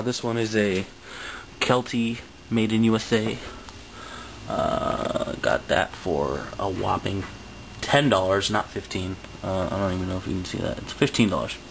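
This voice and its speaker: A man's voice: fairly low pitch, creaky. A man chatting casually in a rambling, slangy show-and-tell, recorded on a noisy amateur home video. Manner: slangy, laid-back phrasing. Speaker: vlogger showing his finds.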